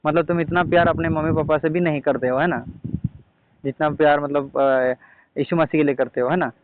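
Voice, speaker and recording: A man's voice: on the high side, steady tone, a little fast. A man debating in an argumentative way on a phone call, pressing insistently with repeated questions. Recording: muffled phone call recording, noisy.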